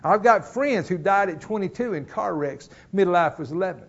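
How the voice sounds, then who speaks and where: Pastor, middle-aged man, folksy storyteller from the pulpit, high voice, slightly muffled lo-fi recording. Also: lively intonation, moderate pace. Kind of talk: sermon. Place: church.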